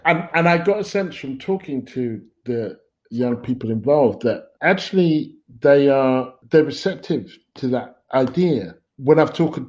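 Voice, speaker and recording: A man's voice: medium pitch, lively intonation, measured pace, low-quality recording. Thoughtful man in his fifties. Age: 50s. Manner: thoughtful, hesitant restarts, soft murmured agreement. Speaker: podcast guest. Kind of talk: casual conversation.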